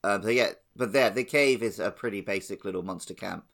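A man's voice: on the low side, brisk, creaky, fairly bright tone. A man talking casually as a panelist on a gaming podcast.

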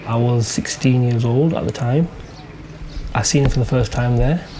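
A man's voice: medium pitch, moderate pace, noisy background. A middle-aged British man telling a personal story in an informal, colloquial, conversational way. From UK, Pakistani family. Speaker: interviewee.

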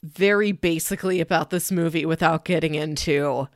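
A woman's voice: sits low, normal speed, no echo, studio quality. A woman co-hosting a podcast, discussing film in a casual, conversational way. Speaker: podcast co-host.

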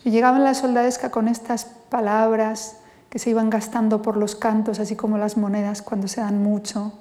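Warm-voiced Spanish woman, thoughtful lecture. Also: a little high, little pitch movement. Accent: Spain Spanish. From Spain. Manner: thoughtful, measured, lingers on words. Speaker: poet giving a talk. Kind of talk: reflective lecture with poetry reading. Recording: long reverb from a hall.

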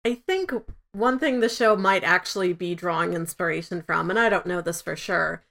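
Female podcast co-host, slightly low pitch, noticeable fry. Casual, conversational review talk. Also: moderate pace, a bit bright.

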